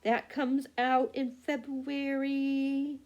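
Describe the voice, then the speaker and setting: A woman book vlogger chatting casually and haltingly, often losing her thread and correcting herself. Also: high voice, level tone, darkish tone, highs cut off. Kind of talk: casual rambling chat about books.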